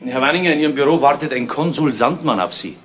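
A man plays an eager, apologetic junior police detective in an old radio crime drama. He speaks quickly and deferentially at a medium pitch, amid loud noise. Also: close to an everyday voice. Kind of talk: 1970s German radio play acting.

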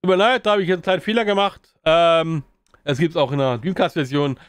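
Man, slightly high voice, casual game review, rambling, frequent fillers, dry close-miked sound. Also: fast talker, clean tone, no room sound. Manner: rambling, many fillers and restarts.

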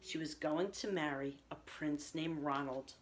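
A middle-aged woman reading a children's picture book aloud with expressive storytelling delivery. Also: low-pitched, unhurried, rough recording. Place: small room at home, indoors.

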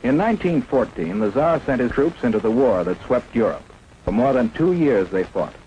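A man's voice: mid-range voice, lively intonation, moderate pace, warm tone, dull audio. A man narrating a 1950s propaganda film in an emphatic, declamatory way. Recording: faint hiss of an old 1950s film soundtrack.

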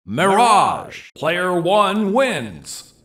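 Bombastic high-pitched game announcer. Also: male voice, big pitch swings, a bit bright, roomy sound, far from the mic, heavily acted. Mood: bombastic, hyped. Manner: theatrical, drawn-out, emphatic delivery. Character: bombastic, hyped male fighting-game arena announcer. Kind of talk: fighting game announcer calls, short and dramatic.

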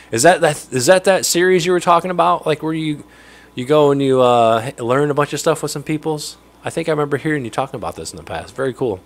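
A man in his thirties with a nasal voice, getting over a cold, hosting a casual livestream and greeting viewers by name from his home fishroom. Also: fairly high pitch. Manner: chatty, greets viewers by name, laughs often. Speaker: livestream host. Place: home fishroom with aquariums, indoors. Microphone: studio mic on stand close in front.

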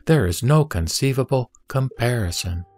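A man playing the Buddha as a serene teacher, speaking in a measured, solemn way in an audio drama of scripture. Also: mid-range voice, expressive, darkish tone. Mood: calm, instructive. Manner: measured, solemn, didactic delivery. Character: the Buddha, serene male teacher instructing a disciple. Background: background music.

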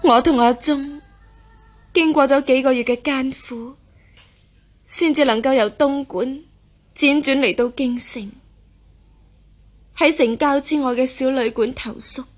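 An actress playing a resolute, devoted young gentlewoman in a historical radio drama. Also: high-pitched, steady tone, a little slow, long pauses, faint music behind. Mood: anxious, longing. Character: resolute, devoted young daughter of a gentry household.

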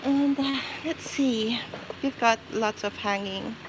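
A woman from the Philippines chatting casually on a live shopping stream, reading out prices and giving shout-outs as she walks around a store. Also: a little high, slow pace. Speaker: livestream shopping host. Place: inside a large retail store. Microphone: handheld phone while walking the aisles. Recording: noisy shop floor phone livestream.

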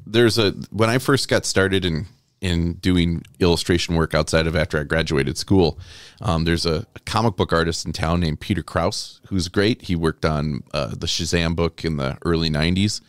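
A man's voice: low-pitched, moderate pace, clear, resonant tone, no echo. A man chatting casually as an interview guest, rambling and chuckling, enthusiastic about his own work. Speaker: interview guest, comic book artist.

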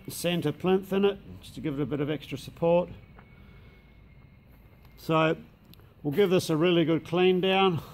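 A man's voice: on the high side, gravelly, darkish tone. An Australian man who runs a machine shop, giving a casual, matter-of-fact walkthrough in a large indoor workshop shed. He speaks plainly, uses local slang, and is recorded on a handheld camera mic.

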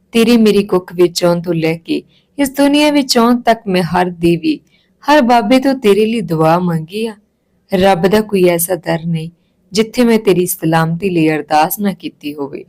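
An emotional mother in an audio storybook, acted out by a woman narrator. She speaks tenderly and earnestly to her child, loving and a little helpless, in a mid-pitched voice. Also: darkish tone, natural voice. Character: tearful, loving Punjabi mother explaining herself to daughter.

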